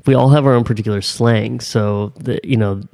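A man co-hosting a podcast, relaxed and agreeable, chiming in and reading out listener questions. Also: lowish voice, fairly quick, creaky. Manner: easygoing and agreeable, with frequent affirmations. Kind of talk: casual chat.

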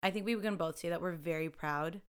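Chatty young woman, smooth lowish voice. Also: a little fast. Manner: chatty, laughs often, lots of "like". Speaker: co-host. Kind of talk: casual chat.

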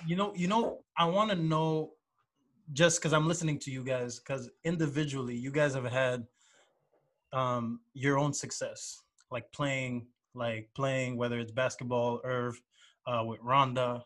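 A man hosting a casual podcast chat in a relaxed way, asking rambling questions and giving frequent small replies. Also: medium pitch, measured pace. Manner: relaxed, gives frequent mm-hmm and right. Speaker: podcast host.

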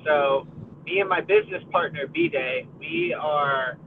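A young American man in his college years talks in a friendly, upbeat way, stumbling into restarts and fillers. His voice sits a little high. It comes through a narrow, tinny line with a faint hiss behind it. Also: takes it slowly. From United States. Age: college age. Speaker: podcast co-host. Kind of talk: casual conversation.

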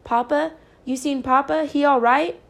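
A woman's voice: sits high, steady tone, normal speed, a little mellow. Woman voicing an anxious little Southern boy. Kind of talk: audiobook character dialogue.

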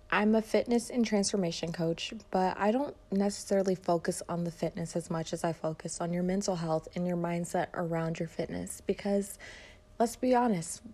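A woman's voice: lowish voice, dark tone. A woman in her twenties from Detroit hosting her own podcast, talking casually and rambling with many fillers, in a soothing voice. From Detroit, Michigan. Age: 20s. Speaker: podcast host, fitness and mindset coach. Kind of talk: casual solo podcast monologue.

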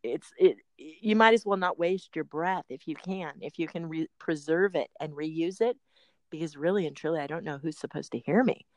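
A woman's voice: low voice, moderate pace, a little mellow, dry recording. A middle-aged woman hosting a podcast, chatting casually with frequent laughs and rambling asides. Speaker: podcast host and personal trainer.

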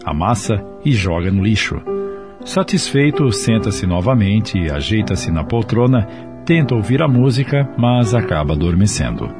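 A man narrates a radio drama from a script. His voice is fairly low, with measured, dramatic storytelling delivery, and music plays clearly behind him. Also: lively intonation. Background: music in the background.

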